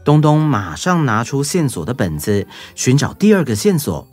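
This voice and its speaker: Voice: medium pitch, very animated, normal speed, darkish tone, soft background music. A narrator reading a scripted children's story.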